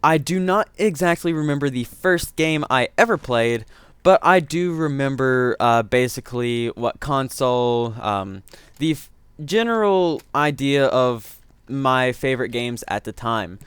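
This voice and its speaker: A man's voice: mid-range voice, animated, measured pace, a bit bright, smooth, no echo. A man giving rambling, self-correcting let's-play commentary, his voice strained from getting sick. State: voice strained from illness. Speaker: gaming commentator.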